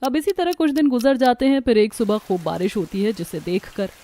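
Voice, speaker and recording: A woman narrates a scripted cartoon story as its storyteller. Her voice sits fairly high in pitch. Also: sing-song, a little fast, dark tone, faint music behind.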